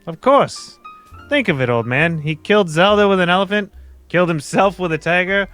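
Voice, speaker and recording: A man playing a pompous, excitable gentleman sidekick in an old-time radio detective play, with theatrical delivery. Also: sits high, normal speed, faint music behind, pitched above the performer's own voice. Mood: astonished.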